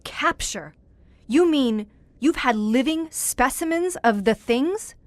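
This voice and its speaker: An actress playing a composed, inquisitive questioner with a formal, literate manner in an audio horror drama. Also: female voice, high-pitched, lively intonation, slow, no echo. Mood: probing. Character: composed, inquisitive woman questioning a monster hunter.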